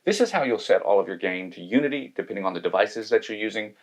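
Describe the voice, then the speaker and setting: A man's voice: fairly low pitch, expressive, fast. A man giving a methodical, step-by-step hands-on demonstration of audio equipment. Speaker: tech reviewer demonstrating gear.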